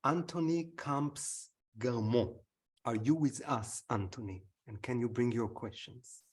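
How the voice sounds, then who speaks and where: A man's voice: medium pitch, unhurried. A man with an Israeli accent facilitating a webinar, thanking speakers and calling on the next participant. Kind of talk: moderating a webinar.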